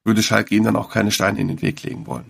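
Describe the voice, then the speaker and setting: A man's voice: lowish voice, level tone, fast talker, gravelly, fairly bright tone. A man, a sports reporter, talking casually in a podcast interview, with many fillers and restarts.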